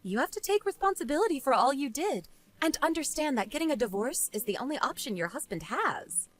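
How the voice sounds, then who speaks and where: A woman's voice: high-pitched, very animated, fairly quick. A woman playing a smug, vengeful wife and former bullying victim in scripted story dialogue, taunting and sarcastic. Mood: dismissive.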